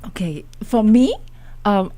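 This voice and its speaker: A woman's voice: mid-range voice, expressive, takes it slowly, dark tone. A woman photographer answering as a guest in a radio interview, speaking reflectively with frequent hesitations.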